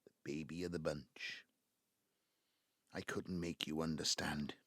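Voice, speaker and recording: An ageing patriarch from the South Wales Valleys in an audio drama, his voice very deep and rough with husk. He takes his time, halting and brooding as he justifies himself. The sound is close and dry. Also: flat delivery, a bit bright, dry recording, exaggerated voice. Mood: tender. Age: 70s. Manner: halting, reflective, breaking into low muttering. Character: embittered ageing Welsh patriarch, wistful and self-justifying. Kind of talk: naturalistic audio drama monologue.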